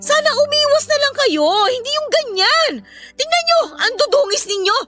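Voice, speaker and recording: A woman's voice: extremely high pitch, animated, normal speed, pushed and bright, heavily acted. A woman playing a worried, protective mother who counsels her children earnestly in an emotional radio drama. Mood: worried, scolding. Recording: music bed behind the voice.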